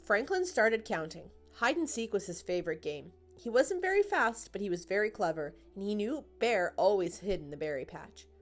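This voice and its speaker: A woman reads a children's story aloud in a middle-pitched voice. It is scripted storytime narration, with music playing behind her. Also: very animated, moderate pace, few pauses.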